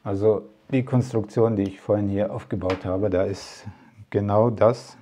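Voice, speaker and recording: A man's voice: lowish voice, steady tone, normal speed, mellow, slight background noise. A man talking casually to camera as a tech vlogger, rambling with many fillers and asides. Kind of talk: casual product talk to camera.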